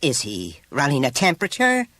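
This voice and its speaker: A man's voice: mid-range voice, huge pitch swings, normal speed, pushed and bright, no echo, pitched above the performer's own voice, full character voice. A man voicing a proud, formidable, well-bred mother with a haughty, clipped and indignant manner. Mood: alarmed, shrill.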